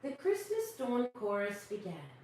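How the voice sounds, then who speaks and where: Woman, children's storyteller, higher-pitched, slow pace, expressive asides, distant echoey recording with faint noise. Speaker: host reading picture books to children. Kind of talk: expressive storybook reading with asides to young listeners.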